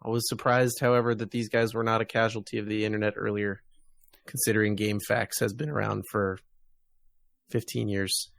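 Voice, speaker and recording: A man co-hosting a podcast, chatting casually and in a low-key way about video games. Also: level tone, brisk, gravelly, fairly bright tone, no room sound. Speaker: podcast co-host.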